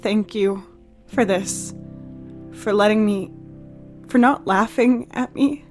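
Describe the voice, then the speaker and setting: A woman's voice: medium pitch, slow pace, pitched above the performer's own voice, natural voice. A woman voicing a shy, sensitive boy in a storybook, speaking hesitantly and with emotion. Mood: tearful, pleading. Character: shy, tearful boy discovering a hidden girl within. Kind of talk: dramatic audiobook character dialogue. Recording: music behind the voice.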